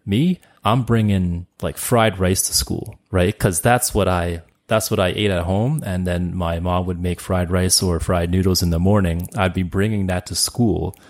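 A man, his Cantonese. His voice sits very low and has a gravelly fry. He chats loosely as a guest, repeating words and padding with fillers. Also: fairly even intonation, moderate pace, few pauses. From Canada. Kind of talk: casual interview chat.

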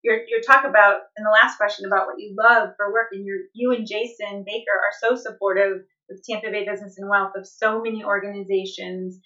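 A woman's voice: mid-range voice, steady tone, brisk, few pauses, bright, forceful tone. A woman co-hosting a podcast, encouraging her guest and asking long, layered questions. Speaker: podcast co-host. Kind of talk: interview hosting. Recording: dull lo-fi podcast sound.

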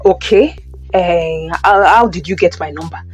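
A woman's voice: fairly low pitch, unhurried, breathy edge, soft background music. Persuasive Nigerian housing agent played by a woman. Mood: businesslike. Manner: hesitant starts, persuasive, businesslike. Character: brisk, pushy male housing agent. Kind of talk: audio drama acting, Yoruba-English phone conversation.

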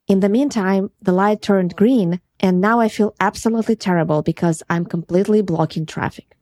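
A woman's voice: mid-range voice, brisk, darkish tone, no echo. A woman from Ukraine with a Ukrainian accent, hosting a podcast and earnestly telling a first-person travel story. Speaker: podcast host.

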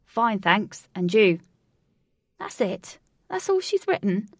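A woman's voice: mid-range voice, big pitch swings, normal speed, darkish tone, no room sound, clean studio sound, distant mic. A woman voicing an eager, naive, lovestruck young man who is easily bewildered, in a story.

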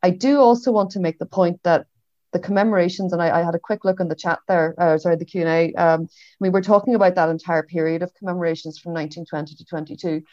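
A woman with a Derry, Northern Irish accent speaking as a historian on a panel, in a reflective, measured and hesitant way. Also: lowish voice, steady tone, darkish tone, no room sound. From Derry, Northern Ireland. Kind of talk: panel discussion. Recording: dull, lo-fi online audio.

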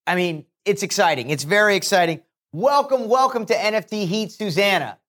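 Man, podcast co-host, high-pitched, hyped, repetitive praise. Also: brisk, a bit bright. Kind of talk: enthusiastic show hosting.

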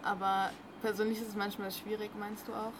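A woman interviewing a musician in a relaxed, chatty way. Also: mid-range voice, brisk. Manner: relaxed, chatty, laughs easily. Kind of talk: casual interview. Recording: very noisy, with traffic behind.

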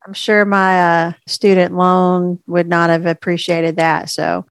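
A woman's voice: on the low side, vocal fry, smooth, dry recording. Stuffy-nosed woman podcast co-host, chatty. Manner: relaxed, chatty, drawn-out fillers. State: a little stuffy. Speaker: podcast co-host, registered dietitian. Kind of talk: casual chat.

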